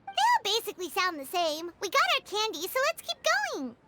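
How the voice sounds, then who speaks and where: A woman voicing a tiny childlike fairy companion in a video game, squeaky and excitable, exclaiming and talking about herself in third person. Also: brisk, heavy background noise, heavily acted. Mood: teasing.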